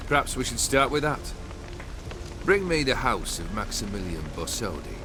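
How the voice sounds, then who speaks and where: A man's voice: medium pitch, sing-song, moderate pace, music in the background, natural voice. An actor playing an imperious, mercurial bandit nobleman in a video game, taunting and theatrical in his delivery. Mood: mocking, lordly. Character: haughty, mercurial male bandit nobleman, mocking and commanding.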